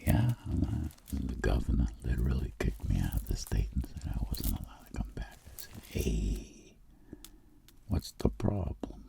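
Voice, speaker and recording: Man playing a gravelly whispering, boastful ASMR rogue. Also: very deep voice, moderate pace, heavily acted. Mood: boastful, playful. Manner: deadpan, rambling, comically boastful. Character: boastful, roguish ASMR tingle dealer, world traveler. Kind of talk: whispered ASMR roleplay storytelling.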